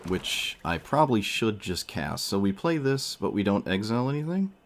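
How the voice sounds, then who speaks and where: A man's voice: lowish voice, sing-song, normal speed, a little hiss or hum. A male streamer losing his voice, thinking aloud as he plays a card game. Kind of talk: casual gameplay commentary.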